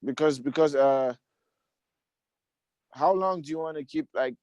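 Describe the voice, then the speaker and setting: Slightly high, dry-voiced man chatting. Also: a little slow, no echo. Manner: relaxed, repeats words, says "you see" often. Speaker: guest musician. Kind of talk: casual conversation.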